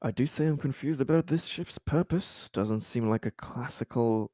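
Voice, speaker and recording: A man's voice: mid-range voice, moderate pace, warm tone, no echo. A man playing a tabletop roleplaying game, chatting partly in character with slightly formal phrasing. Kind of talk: casual in-character game chat.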